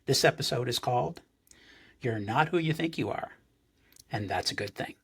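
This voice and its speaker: Male podcast host, earnest, gravelly and husky, many ums and restarts. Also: mid-range voice, lively intonation, moderate pace, fairly bright tone. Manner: earnest, with frequent fillers and restarts. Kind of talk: interview podcast.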